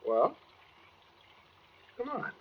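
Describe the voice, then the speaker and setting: A man's voice: drawn-out words, slight background noise. An actor playing a brooding, unhinged killer in a 1940s radio suspense drama, taunting and eerily casual in his menace. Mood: wistful, brooding. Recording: dull 1940s radio recording.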